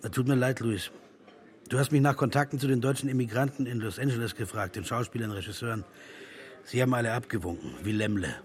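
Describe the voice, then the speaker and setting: A plain-spoken film colleague acted by a man for a radio play. He talks fast and bluntly in a medium-pitched voice. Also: lightly acted. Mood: blunt, resigned. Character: blunt male film colleague and go-between in Hollywood. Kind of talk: German radio play acting.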